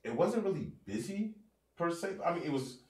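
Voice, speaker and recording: A man's voice: on the high side, very animated, moderate pace, echoey room, far from the mic. A man from North New Jersey hosting a casual podcast chat, slangy and rambling, a bit congested and sniffling from allergies. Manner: laid-back, slangy, rambling with fillers. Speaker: podcast host.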